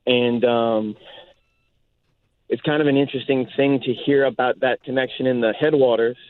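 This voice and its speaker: A man's voice: mid-range voice, steady tone, moderate pace, dry recording. A man from South Louisiana, a native Cajun French speaker, calling in and talking reflectively, searching thoughtfully for words. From South Louisiana, United States. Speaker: caller. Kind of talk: reflective call-in talk. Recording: narrow phone line.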